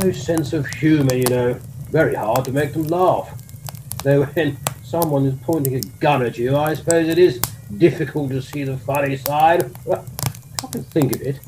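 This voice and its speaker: A man's voice: on the high side, noisy background. A man playing a bumbling, jolly old-school army colonel with an English accent and a stammering, old-fashioned upper-class manner in a comic audio drama.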